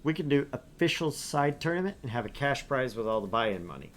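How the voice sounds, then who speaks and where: A man reads a visual novel aloud for his let's play, his voice swinging widely and animatedly through each line. He drops in joking asides as he goes, at an everyday middle pitch. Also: a little fast. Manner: lively, animated reading with asides.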